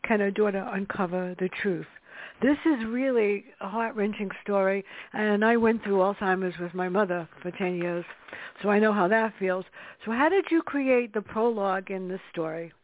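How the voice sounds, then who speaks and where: A woman hosting a radio interview, reading an introduction and asking halting questions. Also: medium pitch. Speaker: radio host. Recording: dry tinny phone-line sound.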